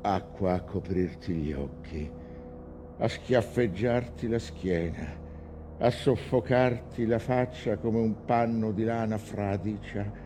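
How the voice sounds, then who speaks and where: A haunted seafarer broods through a dramatic monologue. His voice is deep and very slow, weighted with long pauses. Clear noise and ambience sit behind the theatrical recording. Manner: deliberate, brooding, with heavy pauses.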